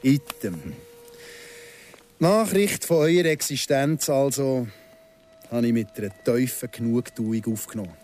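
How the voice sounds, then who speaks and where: An actor playing a vengeful, patient ex-convict in his thirties in a radio drama, speaking Swiss German in a deliberate, sardonic way. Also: medium pitch, darkish tone, slight background noise, close to an everyday voice. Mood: grim satisfaction. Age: 30s.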